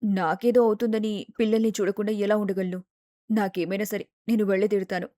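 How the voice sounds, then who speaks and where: A woman's voice: mid-range voice, little pitch movement, brisk, dark tone, no echo, clean studio sound, pitched below the performer's own voice, natural voice. A woman voicing an anxious, determined mother in a storybook tale, speaking urgently and stubbornly. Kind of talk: storybook character dialogue.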